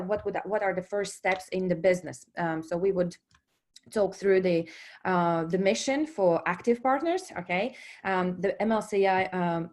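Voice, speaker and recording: A woman in her thirties talks in English with a Latvian accent and a voice of ordinary pitch. She presents to an online audience, pausing often on "uh" and "um" and restarting her phrases. She keeps checking in with a quick "okay?". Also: darkish tone. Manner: hesitant, many fillers and restarts, checks in with "okay?". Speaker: presenter at a business webinar.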